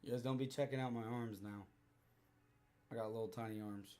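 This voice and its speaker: A hoarse man in his thirties livestreaming, rambling through stories and joking with chat. He talks loosely, swears freely, and wanders from one thought to the next. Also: medium pitch, steady tone, a little fast, a little mellow.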